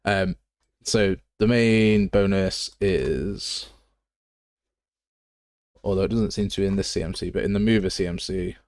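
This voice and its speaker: A man with a very deep voice and an English Midlands accent, chatting casually and bluntly as he thinks aloud through a live game development tutorial. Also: a little slow, pauses often, darkish tone, no room sound, studio quality.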